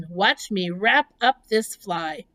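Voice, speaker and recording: A woman's voice: mid-range voice, expressive, drawn-out words, bright, forceful tone, heavily acted. A woman voicing a gracious, self-assured female spider in a storybook, with genteel, precise, composed speech. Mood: composed, friendly.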